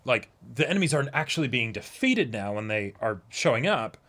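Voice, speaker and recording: A man's voice: mid-range voice, sing-song, brisk, fairly bright tone. A man chatting casually as co-host of a reaction show, talkative, agreeable and enthusiastic in manner. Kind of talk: casual discussion of an anime episode.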